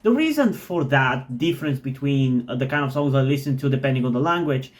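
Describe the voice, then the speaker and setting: A man's voice: medium pitch, expressive, fairly quick. Rambling man reacting to music. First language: not English. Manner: thoughtful, rambling, with many "like"s and restarts. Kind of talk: casual music reaction commentary.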